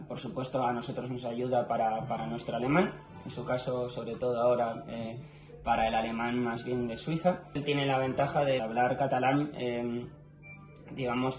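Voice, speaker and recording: A man from Madrid hosting a casual interview, affirming often and restarting his sentences with filler sounds. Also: medium pitch. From Madrid, Spain. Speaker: host of a language channel. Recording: echoey room with music underneath.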